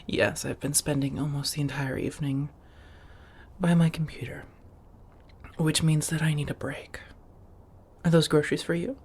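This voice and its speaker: A soft-spoken woman acting an affectionate, refined female vampire roommate in an ASMR roleplay, often near a whisper, with formal phrasing and coy pet names. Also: deep voice, level tone, normal speed, pauses often, breathy edge. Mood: dry, relaxed.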